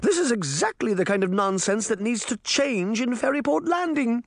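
A haughty, scornful storybook queen, played by a male reader, declaims in a high voice. She is imperious and indignant, her pitch swinging widely in a close, dry recording. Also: animated, normal speed, no room sound.

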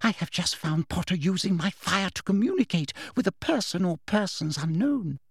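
Man doing a high falsetto woman official, sugary, shrill, lively swooping pitch, dry sound. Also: moderate pace, breathy edge, no room sound, heavily acted. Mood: shrill insistence. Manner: shrill, squawking and shrieking. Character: sweetly girlish, furious middle-aged woman official. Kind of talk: audiobook reading.

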